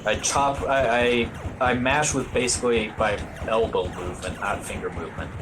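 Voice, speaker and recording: A man's voice: medium pitch, fairly even intonation, moderate pace, noisy background. A man giving casual live speedrun commentary, explaining game mechanics in a hesitant, rambling way. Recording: game music behind the voice. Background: video game music.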